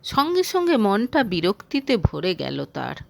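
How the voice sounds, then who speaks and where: A woman's voice: medium pitch, huge pitch swings, a little slow, vocal fry. A woman reading a romantic story aloud as scripted narration.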